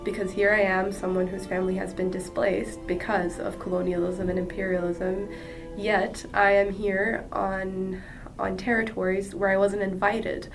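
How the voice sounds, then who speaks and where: A woman from Jordan sharing her personal story as a podcast guest, earnest and halting with frequent restarts. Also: mid-range voice, level tone. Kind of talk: personal testimony. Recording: distant mic with music behind.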